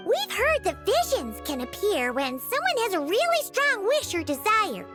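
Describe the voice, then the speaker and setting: A woman voicing a tiny, excitable floating girl companion in a video game, asking eager, high questions. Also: normal speed, exaggerated voice. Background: game soundtrack music.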